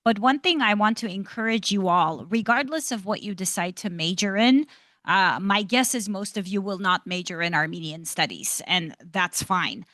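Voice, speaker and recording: A woman's voice: mid-range voice, animated, normal speed, a bit bright, dry recording. A woman speaking as an institute director on an academic panel, articulate and enthusiastic, encouraging students. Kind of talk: panel discussion answer. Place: lecture hall panel event.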